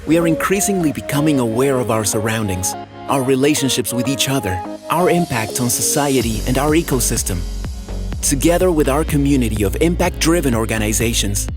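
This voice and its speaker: Guy doing a steady promo voiceover, mid pitch, music playing under it. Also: fairly quick. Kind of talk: promo voice-over. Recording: music bed behind the voice.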